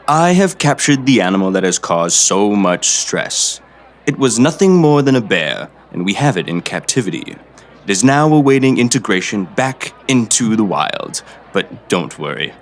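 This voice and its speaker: A man acting a self-assured, measured doctor and wildlife expert in an audio drama. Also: on the low side, very animated. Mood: smoothly reassuring.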